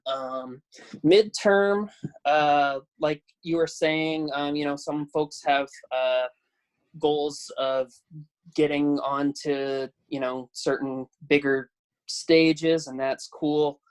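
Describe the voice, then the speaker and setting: A man in his thirties, a pro wrestler on a video call, with a slightly high voice. He talks hesitantly, pausing on fillers and laughing at himself. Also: steady tone, clean tone, poor audio. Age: 30s. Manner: hesitant, filler-heavy, laughs self-deprecatingly. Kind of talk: casual chat.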